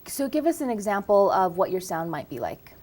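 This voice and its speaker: A woman's voice: mid-range voice, very animated. Cheerful woman hosting morning TV. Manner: bright, cheerful, with brisk prompts. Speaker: TV morning show host. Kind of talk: upbeat morning TV interview. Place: TV studio.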